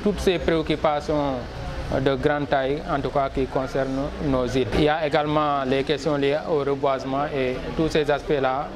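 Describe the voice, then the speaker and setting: A man, a local elected official giving a formal, measured statement to a news camera and listing his points one by one. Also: a little high, little pitch movement, low-quality recording. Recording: crowd chatter in the background.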